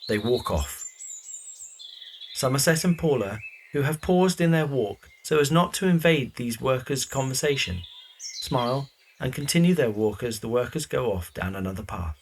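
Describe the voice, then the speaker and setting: A man narrates an audio drama from a script. His voice is medium in pitch, with faint noise behind it. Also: lively intonation, normal speed.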